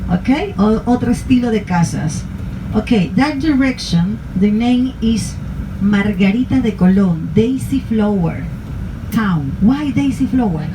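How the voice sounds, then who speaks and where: A woman's voice: medium pitch, animated, a little slow. A native Spanish-speaking woman with a Latin American accent gives bilingual tour commentary, explaining things through rhetorical questions. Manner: explains through rhetorical questions, switching between two languages. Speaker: tour guide. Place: inside a tour bus. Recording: loud vehicle noise on a moving bus.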